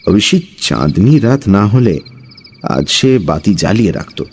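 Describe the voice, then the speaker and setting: A man's voice: fairly low pitch, big pitch swings, unhurried, a little mellow, slight background noise. A man narrating a suspense horror story from a script in a dramatic storytelling style.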